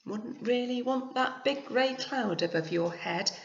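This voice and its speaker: Slow-paced woman reading to children, echoey. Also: a little high, vocal fry, background noise. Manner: expressive, gently questioning, addresses listeners directly. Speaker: teacher reading a picture book to pupils. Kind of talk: storytelling for young children, with chatty asides. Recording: echoey room, slightly muffled sound.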